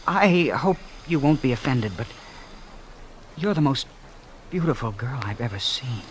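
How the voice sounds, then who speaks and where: A man's voice: medium pitch, lively intonation, a little slow, slight background noise, lightly acted. An actor playing an earnest, bashful small-town young man, hesitant and stammering, in old-time radio drama style.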